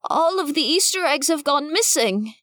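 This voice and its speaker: A woman's voice: high voice, expressive, moderate pace, a bit bright, dry recording, studio quality, pitched above the performer's own voice. A woman voicing a nervous little male bilby who stammers and hesitates. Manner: timid, stammering.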